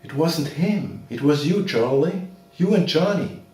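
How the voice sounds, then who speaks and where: A man's voice: fairly high pitch, expressive, unhurried, darkish tone. A man performing a monologue as a bitter, washed-up boxer, plain-spoken and aggrieved. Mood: wounded, bitter. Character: bitter washed-up boxer reproaching his brother. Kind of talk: theatrical monologue. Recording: very echoey room, distant mic.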